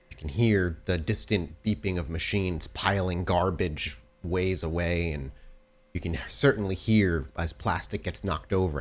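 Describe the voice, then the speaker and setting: A man with a low voice acts as game master for a tabletop roleplaying game. He describes scenes in detail on the fly, often stopping to reword a phrase as he guides the players. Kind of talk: improvised scene narration and game refereeing.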